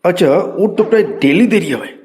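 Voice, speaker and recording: A man's voice: high voice, fast, a little mellow, lightly acted. A man voicing an exasperated, short-tempered male schoolteacher in a cartoon comedy. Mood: stern. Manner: scolding, exasperated outbursts. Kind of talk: Bengali cartoon comedy voice acting.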